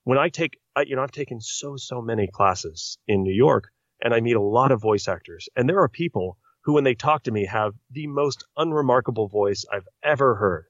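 A man's voice: mid-range voice, moderate pace, few pauses, no room sound. A man who is a voice-actor guest on a podcast, talking earnestly and at length. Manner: earnest, talkative, explains at length. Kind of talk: casual podcast interview. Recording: dry, muffled lo-fi sound.

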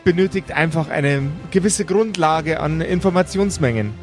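A man's voice: on the high side, normal speed, faint music behind, lightly acted. Undead royal official, played by a male game master, courteous, bureaucratic and apologetic, in a fantasy audio game. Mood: officious, apologetic. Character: polite, fussy zombie royal bureaucrat.

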